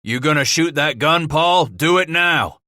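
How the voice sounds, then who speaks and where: A man voicing an impatient, foul-mouthed frontiersman in an audiobook, yelling orders in folksy phrasing. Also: a little high, measured pace, no echo, studio quality, voice pushed up. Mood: urgent.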